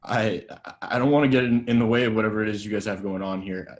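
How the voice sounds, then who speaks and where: A man's voice: lowish voice, fast, lightly acted. A man reading a nervous young actor posing as a gambler at a table read, stammering and rambling when scared.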